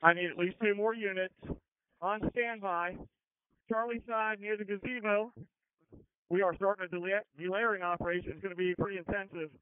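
A man's voice: high-pitched, fairly even intonation, brisk, clean tone, no room sound. A man speaking as a fire battalion chief in terse, urgent, clipped radio traffic over a two-way radio. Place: at a fire and collapse scene. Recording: phone-like fire radio channel.

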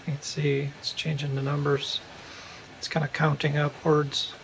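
A man's voice: fairly high pitch, normal speed, creaky, rough recording. A man narrating a hands-on repair tutorial in a casual, folksy, informal way, recorded at home on a handheld camera. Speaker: repair video host. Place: room at home. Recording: steady hum and room noise.

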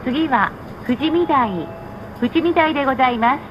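Woman, high voice, formal bus announcer, measured and polite, noisy vehicle background. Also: expressive. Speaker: recorded bus stop announcer. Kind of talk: scripted announcement. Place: played over speakers inside a moving bus. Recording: muffled, noisy recording inside a bus. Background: bus engine and road noise.

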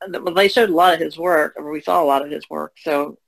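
A woman's voice: sits low, fairly even intonation, fairly quick, gravelly, poor audio. A woman on a podcast panel, casually discussing art.

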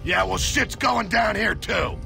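Gravelly, gruff soldier. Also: male voice, high-pitched, a little slow, heavily acted. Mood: urgent, gruff. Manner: terse, deadpan. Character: gruff, sardonic, battle-hardened male soldier. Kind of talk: video game action acting. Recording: loud game music and machinery.

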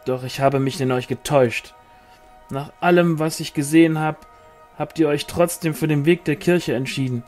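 A man's voice: a little high, fairly even intonation, normal speed, darkish tone, faint music behind, lightly acted. Man acting an emphatic, defiant rebel angel. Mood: indignant. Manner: emphatic, reproachful.

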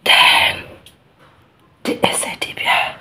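Female ASMR storyteller, African accent, whispered and deliberate, extremely slow, bright breath. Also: slight background noise. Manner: hushed, drawn-out, deliberate delivery. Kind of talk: whispered ASMR folktale narration.

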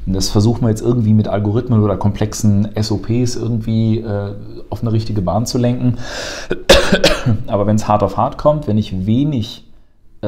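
A man in his thirties, seated on a sofa in a bright office room, explaining stress and thinking to a colleague in a fluent, lecturing way with frequent filler sounds, recorded on a lapel mic. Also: lowish voice. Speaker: emergency medicine educator. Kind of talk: explanatory conversation.

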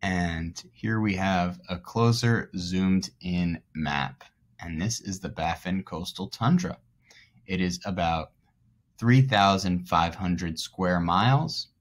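Guy teacher in his 30s doing a read-aloud for little kids, really slow, explains stuff simply. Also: low voice. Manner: explains simply, clearly for children. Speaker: elementary school teacher. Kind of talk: read-aloud lesson for young children.

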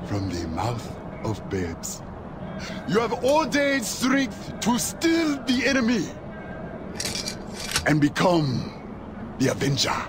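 An actor playing a zealous, middle-aged preacher haunted by sin in a video game, with a grandiose, sermonizing delivery. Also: male voice, high voice, huge pitch swings, slow pace, long pauses, heavily acted. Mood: grand, solemn. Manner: fervent, grandiose, quoting scripture like a sermon. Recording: game audio with clear outdoor ambience noise.

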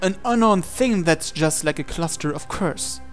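A man's voice: a little high, lively intonation, unhurried, soft background music. A man reading a visual novel aloud as dramatic first-person narration, with an earnest, intense and theatrical delivery. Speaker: let's-play reader of a visual novel.

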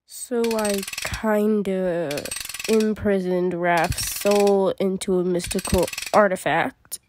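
A woman acting out characters for a fan comic dub in a mellow voice, with hesitant, dramatic pauses. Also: mid-range voice, slight background noise.

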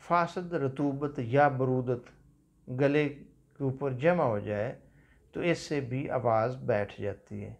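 A man in his fifties with a Pakistani Urdu accent, giving a calm, measured talk on home remedies from a small office. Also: mid-range voice, creaky. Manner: measured, unhurried explanation with religious phrases. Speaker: herbal medicine practitioner. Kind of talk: calm instructional health talk. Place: small office seated at a desk.

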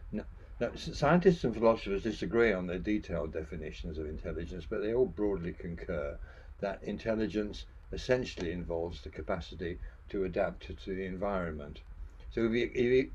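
Deep-voiced older man, muffled recording. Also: darkish tone. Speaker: panel chair and speaker, doctor. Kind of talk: reflective presentation, partly scripted. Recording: dull muffled sound with faint noise.

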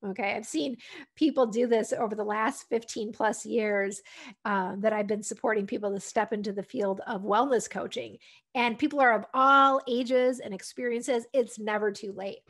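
A woman's voice: a little high, steady tone, normal speed, a bit bright. An American woman, around her thirties or forties, giving an encouraging, conversational instructional talk to camera as a wellness coach. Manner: encouraging, conversational, often ending points with "right?". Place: furnished room at home, indoors. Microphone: desktop condenser microphone.

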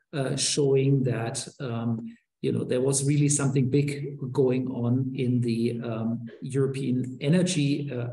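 A man's voice: fairly high pitch, vocal fry, dark tone. A middle-aged man giving a keynote lecture over a video call, explaining steadily with frequent ums and uhs. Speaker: keynote speaker. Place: office or small room, indoors. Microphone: webcam on a video call. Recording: roomy webcam audio.

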